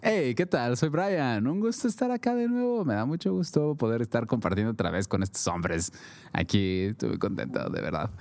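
A man's voice: mid-range voice, very animated, normal speed, vocal fry, a little mellow, dry recording. A man with a Mexican accent co-hosting a podcast in casual chat, joking and laughing often. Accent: Mexican Spanish. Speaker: podcast co-host.

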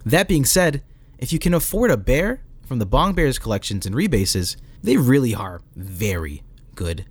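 A man's voice: medium pitch, sing-song, moderate pace, slightly rough. A man reading a scripted article as narrator, with playful, theatrical emphasis.

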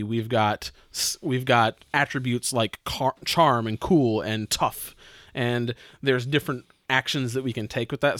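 Male tabletop player, medium pitch, conversational delivery, no room echo. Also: fairly bright tone, studio quality. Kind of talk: casual tabletop game chat, explaining rules.

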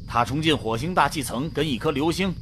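An actor playing a calm, skeptical male astronaut who reports flight data in a science fiction radio drama. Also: fairly high pitch, a little fast, a little hiss or hum, close to an everyday voice. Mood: scoffing. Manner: matter-of-fact, dismissive.

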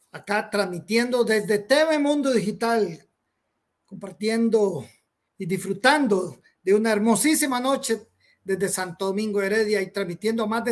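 Male TV host, halting delivery, friendly interview questions. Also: very high-pitched. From Costa Rica. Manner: halting, searches for words. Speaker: show host, producer. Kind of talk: TV talk show hosting.

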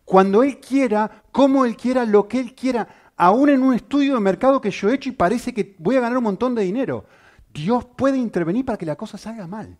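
A man preaching a sermon, asking the congregation rhetorical questions and speaking with lively emphasis. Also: very high voice, a little fast.

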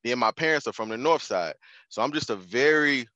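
A man's voice: medium pitch, animated, brisk, sharp tone, dry recording. Laid-back young man, slightly muffled. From Houston, Texas. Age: 20s. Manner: laid-back, slangy, restarts and repeats phrases. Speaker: podcast guest. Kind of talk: casual conversational interview.

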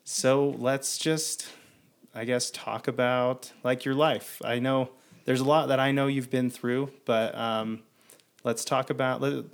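Rambling podcast host, mid-pitched man. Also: fairly even intonation, slow pace. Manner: rambling, many fillers. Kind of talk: casual interview conversation.

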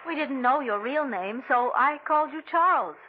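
Anxious, tender wife, 1940s radio thriller. High female voice, concerned and pleading, clearly noisy vintage recording. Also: expressive, normal speed, phone-quality sound. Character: anxious, tender wife of an amnesiac, former nurse.

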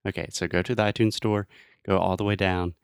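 A man's voice: sits low, flat delivery, a little fast, clean tone, no echo, studio quality. An American man hosting a casual English-learning podcast, talking straight to listeners in informal, emphatic, simply explained phrases. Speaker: podcast host.

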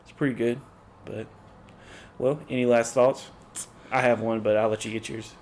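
A man's voice: medium pitch, steady tone, normal speed, slight background noise. Young man, podcast co-host, dry humor, teasing, easygoing chat.

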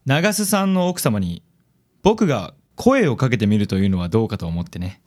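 A man playing a suave, vain young ladies' man in a radio drama, with smooth, affected phrasing. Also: lowish voice, big pitch swings, normal speed, a little mellow.

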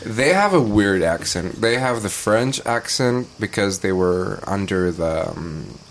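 A man's voice: fairly low pitch, lively intonation, a little slow. A young man chatting casually as a podcast guest, telling stories in an informal, joking way. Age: young adult.

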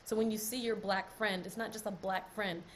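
A woman's voice: medium pitch, fairly even intonation, a little fast, a little hiss or hum. A woman speaking earnestly on a group call, repeating phrases for emphasis. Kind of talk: heartfelt closing remarks on a call.

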